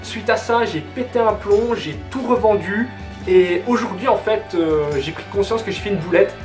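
A man's voice: high voice, normal speed. Teenage Belgian man chatting in a roomy bedroom. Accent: Belgian French, Hainaut. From southern Belgium. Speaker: YouTuber answering viewer questions. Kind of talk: casual chat to camera. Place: small bedroom, indoors. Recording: roomy bedroom sound, music underneath. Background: music.

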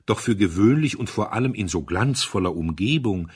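Male narrator for literary audio drama: lowish husky voice, suspenseful and measured delivery. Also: expressive, a little mellow.

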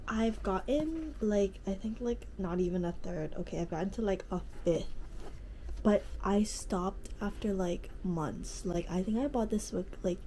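A woman's voice: medium pitch, a little slow, warm tone, slight background noise, poor audio. Stuffy-nosed young woman chatting casually. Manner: chatty, lots of "like" and "um", easygoing. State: stuffy nose from allergies. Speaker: vlogger. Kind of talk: casual reading vlog chat. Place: bedroom, indoors. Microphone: camera at close range.

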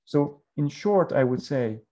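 A man's voice: fairly high pitch, expressive, warm tone. A man, speaking thoughtfully as a guest on a webinar from a room at home over a video call. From Iran. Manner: thoughtful, drawn-out phrasing, tells a parable with voices. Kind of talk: reflective talk on a webinar. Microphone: video call webcam.

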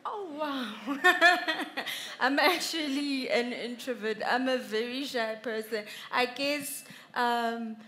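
A woman's voice: high voice, slow pace. Young South African woman speaking on stage. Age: young adult. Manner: animated, gestures while speaking, laughs easily. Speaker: welding inspector guest speaker. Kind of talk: motivational talk to students. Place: large exhibition stage, indoors. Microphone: headset.

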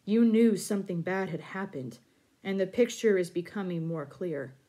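A woman voicing a calm, wise spirit that speaks from within someone's mind, in a soft, lower, quieter tone, in a storybook reading. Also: moderate pace, natural voice.

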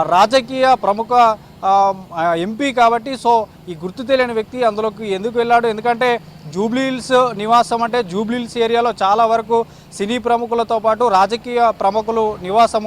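A male field reporter delivers a live, unscripted report. He is halting and repetitive, dropping in English words, with faint city traffic noise around him. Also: high-pitched.

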